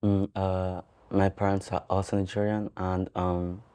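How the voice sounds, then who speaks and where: A teenage boy co-hosting a talk show, speaking quietly and mumbling a little. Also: low voice, level tone, unhurried, clear, resonant tone, dry recording, studio quality. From born in Italy, living in Northern Ireland. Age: teens. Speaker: co-host. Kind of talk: casual chat.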